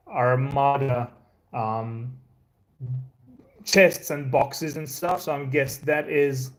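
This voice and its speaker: A Croatian man whose English carries an American-sounding accent, in a slightly higher register than most men. He hosts a casual live stream, rambling along at an easy pace, stumbling over restarts and filling gaps with "uh" and "like." He talks from indoors at a home. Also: low-quality recording. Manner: rambling, laid-back, many fillers and restarts. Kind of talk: casual live-stream chat answering viewers.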